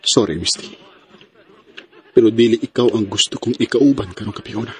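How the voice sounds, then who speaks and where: A man's voice: lowish voice, normal speed, over music, lightly acted. An actor playing a polite, dutiful young man in a radio drama, earnest and mixing in English. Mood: apologetic. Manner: earnest, mixes English into his speech.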